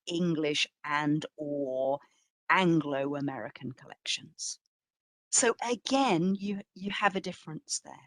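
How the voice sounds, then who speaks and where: A woman speaks as a curator presenting a history talk in a very dry, close acoustic. Her pace is markedly slow and her pitch sits a little low. She is reflective yet emphatic, putting questions to her listeners as she goes. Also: no echo. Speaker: museum curator giving a talk. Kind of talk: public history lecture, semi-scripted.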